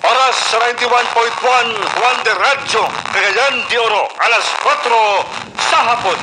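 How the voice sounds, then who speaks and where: A man's voice: very high-pitched, unhurried. A man with a Filipino accent reading scripted news headlines on the radio. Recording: very noisy FM radio broadcast.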